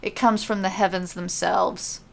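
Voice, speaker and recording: Creaky-voiced woman narrating a story. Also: medium pitch, flat delivery, measured pace.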